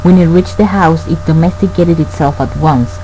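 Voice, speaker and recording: An actor playing a tormented man narrating a gothic horror tale, formal, grave and measured in a radio play. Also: fairly high pitch, mellow, lightly acted, rough recording. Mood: dread, confessional. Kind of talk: scripted dramatic narration. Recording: faint background noise and hum.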